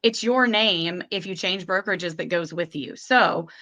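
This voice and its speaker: A woman's voice: on the low side, measured pace, pushed and bright, muffled recording. A woman speaking as a marketing panelist at a workshop, painting vivid pictures to persuade. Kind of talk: workshop advice.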